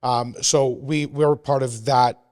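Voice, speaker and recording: A man's voice: mid-range voice, slow. Upbeat man in his forties hosting. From Canada. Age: 40s. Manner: energetic, asks questions, repeats himself. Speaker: podcast host. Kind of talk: casual podcast interview.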